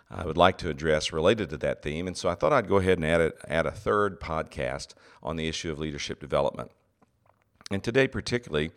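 A middle-aged man hosting a solo teaching podcast, his voice very deep and scratchy from a cold, speaking earnestly with many "uh" pauses. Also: fairly bright tone. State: has a cold, congested. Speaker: podcast host, seminary president.

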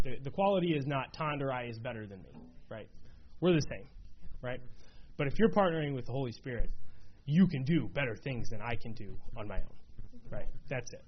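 A man leading a Bible study in a conversational way, often checking in with his listeners. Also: medium pitch. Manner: conversational, many fillers and "right?" checks. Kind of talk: casual teaching to a small group. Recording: dull lo-fi room recording, faint noise.

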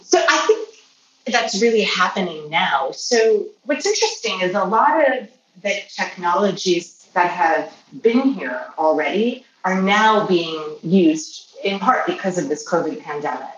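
A woman who grew up speaking Polish, answering interview questions as a healthcare professional in a measured, explanatory way. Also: on the low side, animated, fairly bright tone. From Poland or Polish-speaking family. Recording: roomy, distant mic, faint noise.

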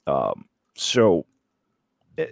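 A man giving a comic mock lecture in a put-on Australian accent, hesitant and deadpan. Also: extremely low pitch, drawn-out words, creaky, dry recording, studio quality. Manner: putting on a joke Australian accent. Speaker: comic presenter giving a slideshow talk. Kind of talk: mock lecture, improvised comedy presentation.

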